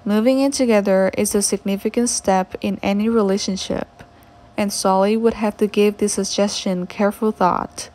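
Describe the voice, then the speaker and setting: A woman reading scripted entertainment narration in a smooth, even read-aloud delivery. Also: mid-range voice, moderate pace. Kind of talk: scripted narration of soap opera spoilers.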